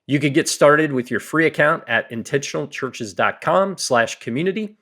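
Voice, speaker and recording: A man's voice: a little high, lively intonation, normal speed, a bit bright. A man hosting a church-leadership podcast, welcoming a guest with upbeat enthusiasm. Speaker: podcast host.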